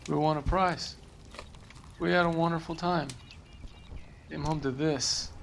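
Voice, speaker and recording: Gravelly, resigned American family man. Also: fairly high pitch, steady tone, a little slow, a bit bright, slight background noise. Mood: weary, bewildered. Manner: subdued, resigned, hesitant. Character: weary family man who lost his home, resigned. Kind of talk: video game dialogue.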